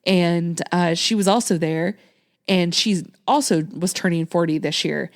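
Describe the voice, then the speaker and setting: A woman's voice: on the low side, measured pace. Chatty late-thirties woman from Texas. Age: late 30s. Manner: chatty, laughs often, many ums. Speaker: podcast host. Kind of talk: casual chat.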